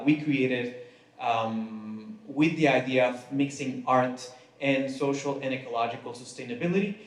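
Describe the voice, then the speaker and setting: A man's voice: medium pitch, slight background noise. A man moderating a conference panel, speaking unhurriedly with many filler sounds. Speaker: moderator and studio founder. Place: conference room. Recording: echoey room.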